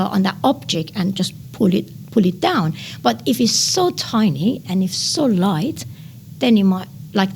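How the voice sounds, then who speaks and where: A woman in her thirties from Iran, speaking English with a Persian accent. She lays out science in an eager interview tone, filling pauses with "uh". Her voice carries a gravelly, fry-tinged edge over a faint bed of room hiss. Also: mid-range voice, darkish tone. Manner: enthusiastic explainer with frequent "uh" pauses. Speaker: nanotechnology researcher. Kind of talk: explanatory interview answers.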